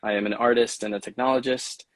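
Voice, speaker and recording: A young man from Venezuela, a guest artist telling his story in a relaxed, measured way with many fillers in a casual livestream chat. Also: mid-range voice, no echo.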